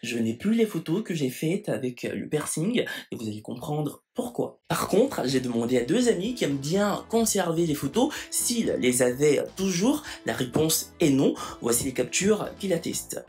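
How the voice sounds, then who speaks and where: A man reads a short, scripted voice-over aside in a calm, explaining tone. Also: a little high, normal speed, few pauses, soft background music.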